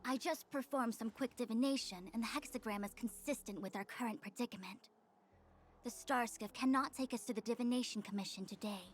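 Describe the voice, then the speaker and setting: Woman voicing a haughty, high-voiced formal diviner. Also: slight background noise, natural voice. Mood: grave, self-important. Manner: imperious, formal, measured diction. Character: haughty, formal female chief diviner, proud and exacting. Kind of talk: video game voice acting.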